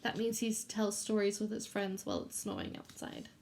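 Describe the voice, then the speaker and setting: A woman reading a picture book aloud to young children in an expressive way, pausing to ask them questions. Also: medium pitch, gravelly, slight background noise. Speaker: children's storybook read-aloud host.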